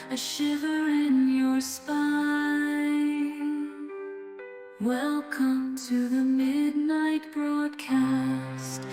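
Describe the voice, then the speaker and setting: High-voiced woman singing over loud music. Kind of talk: eerie sung theme song.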